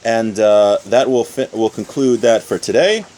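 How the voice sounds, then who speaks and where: A man's voice: fairly low pitch, a little slow, dark tone. A man giving an informal religious lesson as a teacher, explaining step by step and often rephrasing his points. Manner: explanatory, repeats and rephrases points with everyday examples. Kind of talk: informal religious lesson, reading source text then explaining.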